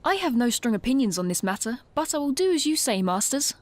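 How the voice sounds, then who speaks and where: A woman's voice: a little high, big pitch swings, fast, dry recording. A woman voicing a formal, obedient female robot companion who calls the others Master. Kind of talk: fan audio drama acting.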